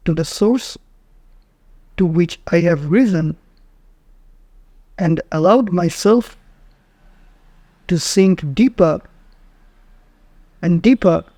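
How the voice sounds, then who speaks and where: Slow, soft-toned man guiding meditation. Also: high voice, dry recording. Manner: measured, with short phrases and frequent pauses. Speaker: meditation guide. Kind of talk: slow guided meditation narration.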